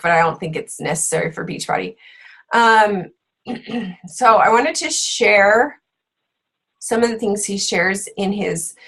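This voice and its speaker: A woman hosting a book club, a bit hoarse from being sick, chatting casually with lots of fillers. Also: mid-range voice. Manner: chatty, with frequent fillers and laughs. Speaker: fitness coach and book club host.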